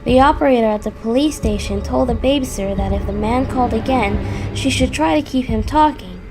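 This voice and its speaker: A woman's voice: fairly high pitch, brisk, music in the background. A woman reading a scripted horror story as its narrator. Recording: clearly audible background noise.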